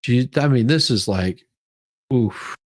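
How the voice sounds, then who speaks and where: A man giving casual, off-the-cuff commentary as a streamer, in a halting way that often trails off. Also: mid-range voice, a little mellow. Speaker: live streamer reacting to police footage.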